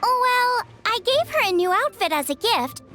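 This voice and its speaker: An actress voicing a cheerful, warm-hearted young woman chef in a video game, friendly and a little teasing. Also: extremely high pitch, sing-song, normal speed, soft background music, full character voice. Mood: earnest.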